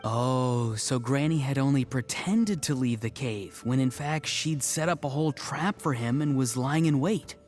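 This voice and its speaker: A man's voice: medium pitch, unhurried, hardly any pauses, darkish tone, close to an everyday voice. Guy acting friendly young dude in a game cutscene, dry and casual, middle voice, faint music. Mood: dry, teasing.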